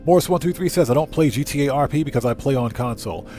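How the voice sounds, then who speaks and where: A man with a medium-pitched voice hosts a live gaming stream. He talks in an informal, conversational way, commenting on play and addressing his viewers. Faint music plays behind him. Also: animated, fast, dark tone. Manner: conversational, informal, reads out chat and answers it. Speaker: live streamer, channel host. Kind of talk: casual gaming livestream commentary, chatting with viewers. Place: indoor room at home.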